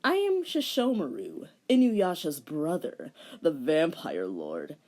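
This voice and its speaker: A woman's voice: sits low, wildly swooping pitch, slow, a little mellow, full character voice. A woman voicing a menacing, bitter male vampire lord in a dramatic fan fiction reading, with grandiose villain delivery.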